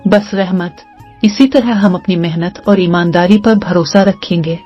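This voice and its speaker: A woman's voice: lowish voice, a little slow, mellow. A woman playing a supportive, faithful villager's wife in a cartoon moral story, earnest and reassuring. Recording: slightly dull audio over music.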